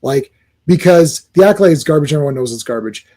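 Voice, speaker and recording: A man co-hosting a casual podcast, talking in an animated, rambling way with many restarts. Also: fairly high pitch, quick pace. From Croatian family, born locally. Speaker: podcast co-host. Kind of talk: casual chat.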